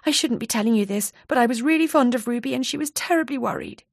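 Woman reading a nervous chatty office worker, higher pitch, breathless, confiding, dry sound. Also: quick pace, creaky, no echo.

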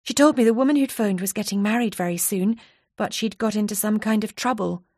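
A woman's voice: mid-range voice, a little fast, darkish tone, no echo, studio quality, pitched above the performer's own voice, natural voice. A woman voicing a nervous, chatty female office worker who confides eagerly and a little breathlessly. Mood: confiding.

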